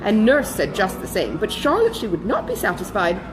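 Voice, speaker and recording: Woman voicing an animated, gossipy older society lady. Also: medium pitch, moderate pace, over music, low-quality recording. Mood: bustling, eager. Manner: breathless, exclamatory, chatty gossip. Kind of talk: dramatised audiobook reading of a classic novel.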